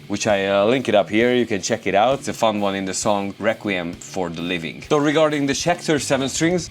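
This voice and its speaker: A Hungarian man with a Hungarian accent, a guitarist hosting a casual question-and-answer video, relaxed and rambling with many fillers. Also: low-pitched. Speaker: guitarist and YouTube host. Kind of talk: casual Q&A to camera.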